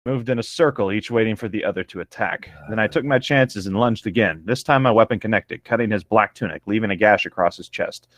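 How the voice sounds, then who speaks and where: A man's voice: fairly low pitch, fairly quick, hardly any pauses, fairly bright tone, no room sound. A man co-hosting a casual read-aloud show, moving between narrating fan fiction and riffing on it.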